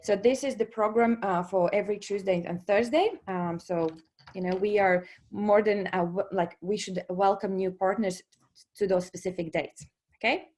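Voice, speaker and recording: A woman's voice: medium pitch, steady tone, moderate pace, a little mellow, faint music behind. A woman in her thirties from Latvia, speaking English with a Latvian accent, presenting to an online business audience with many fillers and restarts and frequent "okay?" check-ins.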